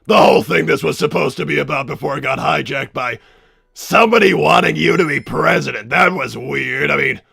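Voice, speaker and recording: A man's voice: fairly high pitch, expressive, fairly quick, vocal fry, pushed and bright, slightly rough, full character voice. A man playing an exasperated, sarcastic villainous scientist, blustering and anxious in a livestream roleplay. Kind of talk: improvised character roleplay on a livestream.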